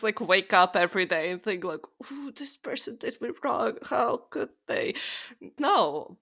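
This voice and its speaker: A woman podcast host with an average-pitched voice. She speaks in a casual, conversational way, using informal phrasing as she discusses books. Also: fairly bright tone.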